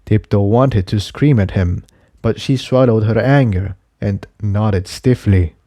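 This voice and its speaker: A man's voice: lowish voice, unhurried, dark tone, no room sound. A man reading an adventure story aloud in scripted audiobook narration. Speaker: narrator and reader of a fan fiction.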